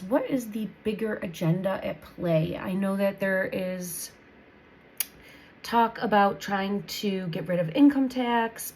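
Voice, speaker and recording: A woman's voice: mid-range voice, darkish tone, a little hiss or hum, rough recording. Woman tarot reader with a head cold. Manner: thinking aloud, repeats phrases, many restarts. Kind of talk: casual spoken tarot reading.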